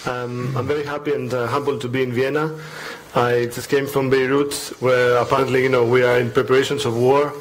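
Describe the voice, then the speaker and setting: A man from Greece with a Greek accent giving an informal academic talk as a panelist, conversational and halting with repetitions. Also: medium pitch, flat delivery, rough recording. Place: public panel event in a library.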